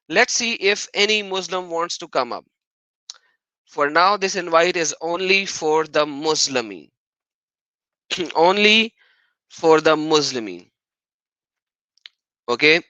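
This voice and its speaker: A man's voice: a little high, pushed and bright, dry recording, low-quality recording. A man hosting an argumentative live stream on religion, often mocking and repeating phrases for emphasis.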